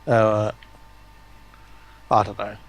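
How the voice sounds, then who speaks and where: A man's voice: lowish voice, little pitch movement, very slow, poor audio. A man chatting casually as a guest on a livestream. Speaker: guest streamer.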